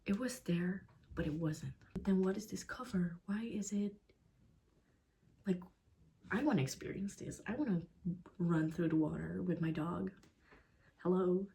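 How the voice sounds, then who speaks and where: A woman's voice: on the low side, measured pace, creaky, long pauses, mellow, slight background noise. A young Dutch woman giving a casual, blunt book review in English with a Dutch accent. She swears freely and often drops into a whisper. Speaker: book vlogger.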